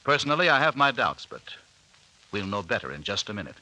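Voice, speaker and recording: An actor playing a wry, teasing announcer narrating an old-time radio mystery serial. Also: male voice, on the low side, very animated, quick pace, bright, forceful tone, full character voice, poor audio. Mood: teasing, intriguing.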